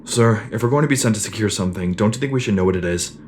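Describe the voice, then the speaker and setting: Guy playing a determined space trooper, kinda low voice, questions stuff, audio drama, bit of noise. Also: very fast, darkish tone, close to an everyday voice. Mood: earnest, questioning. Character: determined, questioning male sci-fi shock trooper, squad leader.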